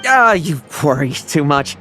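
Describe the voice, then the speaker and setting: An actor playing a sly, cheerful young knight in a game, breezy and smooth-talking. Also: male voice, on the high side, big pitch swings, unhurried, bright, forceful tone, soft background music, heavily acted.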